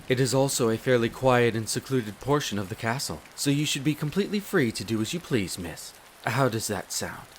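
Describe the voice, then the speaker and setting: Courteous castle butler , male actor, mid-pitched, measured and deferential, audio roleplay, light rain noise. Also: natural voice. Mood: courteous, attentive. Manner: formal, polite, measured, deferential. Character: courteous, devoted demi-human butler in a vampire's castle. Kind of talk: scripted roleplay addressed to the listener. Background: steady rain of a storm.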